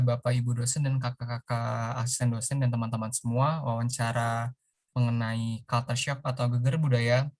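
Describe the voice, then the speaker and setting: A man's voice: medium pitch, darkish tone. A young man interviewing guests in a casual, friendly way. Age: young adult. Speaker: student interviewer.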